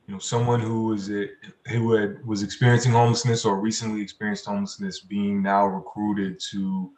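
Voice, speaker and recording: A man with a fairly low voice speaks off the cuff as a panelist. He is halting, often repeating himself as he searches for words. The sound is roomy and echoey, as on a video call from an untreated room. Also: little pitch movement, a little mellow. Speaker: community organizer, panelist. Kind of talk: spontaneous panel answer.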